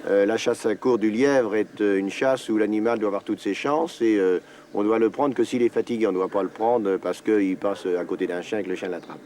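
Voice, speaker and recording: A man's voice: lowish voice, a little fast, a little mellow, a little hiss or hum. A man recounting and explaining a hunt thoughtfully in a documentary interview, with many small fillers. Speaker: hunter.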